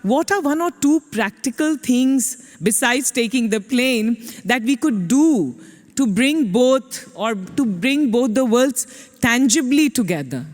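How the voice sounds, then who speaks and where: A woman's voice: on the high side, animated, takes it slowly, big-room sound. A woman moderating a conference session, framing long questions with hesitations and restarts. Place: conference stage.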